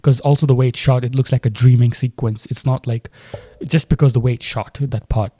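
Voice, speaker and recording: A man's voice: medium pitch, steady tone, brisk, dark tone, no echo, studio quality. A man from Delhi with an Indian English accent and Hindi as his first language, thinking aloud and questioning as he reacts to a film trailer.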